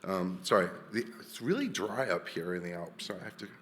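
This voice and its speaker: A man's voice: sits low, big pitch swings, a little fast, a bit bright, big-room sound, slight background noise. A man from Wales, giving a chatty, jokey conference talk full of restarts. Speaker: conference speaker. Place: large hall.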